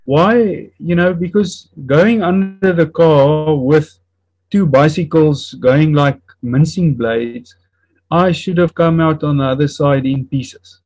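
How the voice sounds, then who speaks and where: A man in his fifties whose first language is Afrikaans, speaking with an Afrikaans accent and earnestly recounting a personal testimony in a halting way, often searching for words and restarting. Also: a little high, a little mellow, no echo, poor audio. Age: 50s. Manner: earnest and reflective. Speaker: interview guest.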